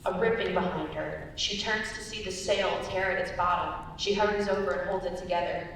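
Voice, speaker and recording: Recorded from far off in a rumbly, very reverberant hall, a young woman narrates a script. Her voice is on the low side with clear creak, reading stage directions. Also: fairly even intonation, a little fast, fairly bright tone. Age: young adult. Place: large echoey indoor performance space. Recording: very echoey hall, distant mic, rumbly noise.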